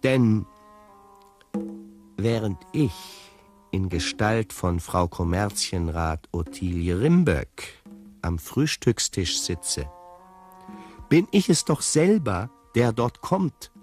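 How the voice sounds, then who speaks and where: Urbane radio-play storyteller, low pitch, measured, confiding, faint music. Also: male voice, lively intonation, a little mellow. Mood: confiding, wry. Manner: measured, literary. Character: shape-shifting storyteller confiding in the listener, urbane. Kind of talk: radio drama narration addressed to the listener.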